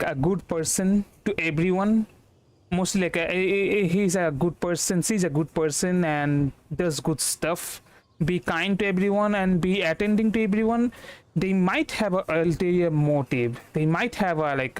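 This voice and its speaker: Higher-pitched man streaming. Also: smooth. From India. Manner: halting, repeats words, rambling. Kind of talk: casual gameplay commentary. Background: game music.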